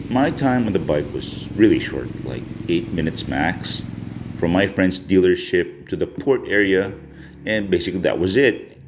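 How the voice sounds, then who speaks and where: Low-pitched man, casual motorcycle vlogger. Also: background noise. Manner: conversational, informal. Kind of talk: casual voice-over review.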